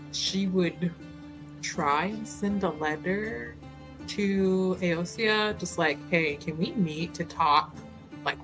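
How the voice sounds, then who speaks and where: A woman's voice: fairly low pitch, fairly bright tone, rough recording. A woman playing a tabletop roleplaying game, chatting casually and thinking aloud in a slangy, wry way.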